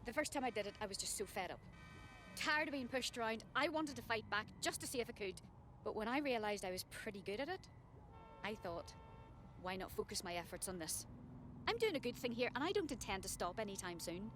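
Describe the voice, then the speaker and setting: A woman's voice: medium pitch, lively intonation, brisk, a bit bright, slight background noise, lightly acted. A woman playing a self-assured, defiant young vigilante fighter in a video game. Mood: cool, defiant. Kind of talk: video game cutscene acting.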